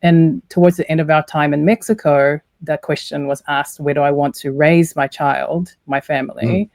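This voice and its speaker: A woman's voice: low-pitched, fairly even intonation, normal speed, darkish tone, no room sound. Woman, 40s, grew up in New Zealand; reflective, laughing podcast guest, dry sound. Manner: thoughtful, laughs easily, rephrases as she goes. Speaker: guest, university lecturer. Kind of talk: casual interview chat.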